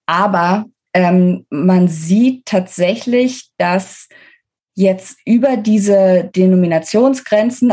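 A woman's voice: on the low side, dark tone, husky. A woman speaking as an interviewed author, explaining things analytically in a conversational expert style. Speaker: interviewed guest, book author.

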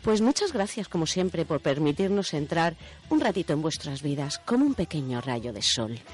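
A woman's voice: low-pitched, very animated, moderate pace, soft background music. A woman with an accent from Spain, co-hosting a science radio show in casual conversation. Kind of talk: casual chat.

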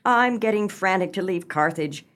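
Woman playing an excitable, swooping social-climbing wife. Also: mid-range voice, normal speed, close to an everyday voice. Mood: frantic complaint. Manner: gushing, theatrical, dramatic. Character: excitable, socially ambitious wife dreaming of new riches. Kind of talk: humorous stage-style radio play acting.

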